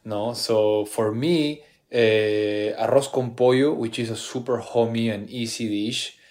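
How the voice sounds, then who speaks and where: A man's voice: mid-range voice, fairly even intonation, measured pace, a little mellow. A man from Peru with a Peruvian Spanish accent, a chef guest talking enthusiastically in a casual podcast interview.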